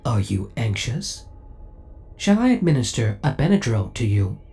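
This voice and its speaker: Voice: medium pitch, big pitch swings, moderate pace, warm tone, faint music behind. An actor voicing a possessive, devoted spaceship AI in an audio roleplay, speaking in a formal, precise, clinical and politely menacing way. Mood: clinical concern. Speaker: voice actor playing a ship's AI. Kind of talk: scripted ASMR audio roleplay acting.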